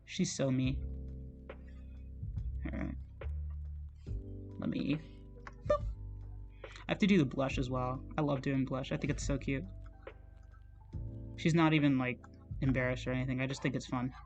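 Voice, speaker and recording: A chatty streamer talks loosely while drawing, voice slightly strained and scratchy, self-conscious and quick to laugh and swear. Middling pitch. Faint music sits softly behind the talk. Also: fairly even intonation. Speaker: digital artist streaming. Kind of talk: casual rambling commentary while drawing.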